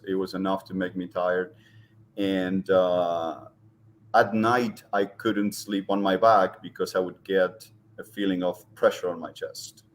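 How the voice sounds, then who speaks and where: A middle-aged man from Argentina with an Argentine Spanish accent, speaking as an interview guest and pausing often with ums and restarts. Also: low-pitched, slight background noise. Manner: hesitant, many ums and restarts. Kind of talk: casual interview talk.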